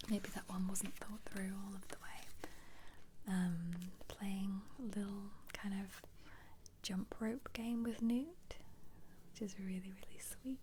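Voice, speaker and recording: Asmr lady whispering real slow and soft, mellow breathy voice, kinda playful, bit of background hiss. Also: medium pitch. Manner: playful, musing aloud. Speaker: ASMR host. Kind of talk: soft-spoken whispered ASMR book flip-through.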